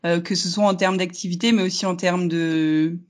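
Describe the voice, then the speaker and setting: A woman's voice: fairly low pitch, fairly even intonation, moderate pace. A young woman from northern France with a slight northern French accent, answering interview questions in a hesitant, casual way, with many fillers and easy laughter. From Hauts-de-France, northern France. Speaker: guest entrepreneur.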